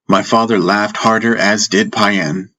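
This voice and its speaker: A man reads fantasy fiction in a deep, slightly husky voice. His scripted narration moves slowly and deliberately. Music plays underneath. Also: fairly even intonation, bright, forceful tone. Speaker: audiobook narrator. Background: background music.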